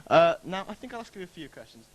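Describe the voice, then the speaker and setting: A man interviewing a friend on camera, halting and friendly, with many pauses. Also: high-pitched, animated, dull audio, a little hiss or hum. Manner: hesitant, friendly, full of "uh"s. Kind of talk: casual on-set interview.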